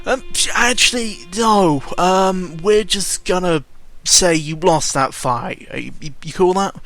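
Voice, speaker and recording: A man's voice: a little slow, a bit bright, no room sound. A man mocking a smug, dismissive video game. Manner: mocking high sing-song quoting voice. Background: video game music.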